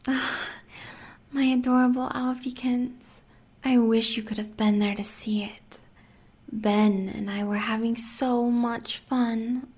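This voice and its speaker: An American woman playing a sadistic, adoring werewolf huntress in an audio roleplay, murmuring in a breathy, sweetly sinister way. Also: fairly high pitch, steady tone, slow pace, darkish tone. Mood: gleefully doting.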